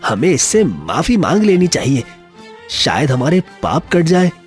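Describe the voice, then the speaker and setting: Cartoon village man, acted by a male reader: anxious, deferential, raised husky pitch, slow swooping delivery. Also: wildly swooping pitch, faint music behind, heavily acted. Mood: remorseful. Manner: deferential, pleading. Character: humble, anxious village man begging for help.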